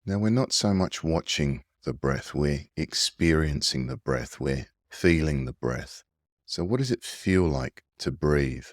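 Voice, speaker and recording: A man leading a guided breathing meditation in a soothing, gentle, unhurried manner. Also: rumbling low, animated, no room sound, studio quality. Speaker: meditation guide and mindfulness coach.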